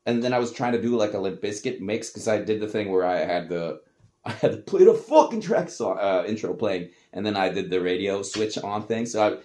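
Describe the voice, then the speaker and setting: A man's voice: fairly low pitch, brisk. Guy, swears a lot, teases himself, voice jumps up and down all over. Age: adult. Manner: animated, profane, self-mocking. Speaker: host. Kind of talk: casual commentary reacting to old tapes.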